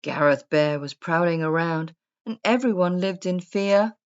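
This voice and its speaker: Lady reading a kids rhyming story, sing-song n unhurried, bit dull lo-fi recording. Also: fairly low pitch, smooth. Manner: deliberate storybook delivery with sing-song rhyme. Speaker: story reader. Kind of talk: read-aloud children's rhyming story narration. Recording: dull, muffled lo-fi sound.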